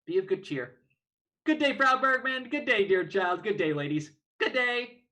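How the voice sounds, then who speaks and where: A high, forceful voice of a courteous old-fashioned doctor , acted by a man. He is formal and a touch pompous, breezily reassuring in the manner of a period stage play. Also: animated, moderate pace. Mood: courteous, cheery. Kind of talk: dramatic reading of a 19th-century play.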